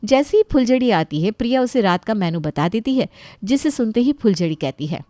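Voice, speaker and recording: A woman's voice: fairly high pitch, lively intonation, a little fast, mellow, no room sound, clean studio sound. A woman storyteller reading scripted narration of a family tale.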